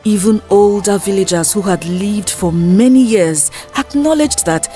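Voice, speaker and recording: A woman narrates a scripted folktale as a storyteller. Her voice sits at a middle pitch, with measured and clearly separated phrases. Music plays behind her narration. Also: dark tone.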